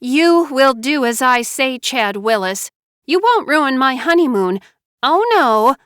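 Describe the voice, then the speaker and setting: A woman narrator voicing a manipulative young wife who pleads in a high, whiny little-girl voice through sobs. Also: animated, slow pace, fairly bright tone, smooth, no room sound, studio quality, full character voice. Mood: bossy. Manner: high little-girl whine, sobbing pleas.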